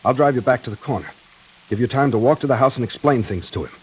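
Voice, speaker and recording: A man's voice: mid-range voice, quick pace, husky, close to an everyday voice, poor audio. A man playing an earnest, decisive doctor and protective sweetheart in 1940s radio acting, speaking with emphatic urgency. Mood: urgent. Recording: hissy 1940s radio recording, rain behind.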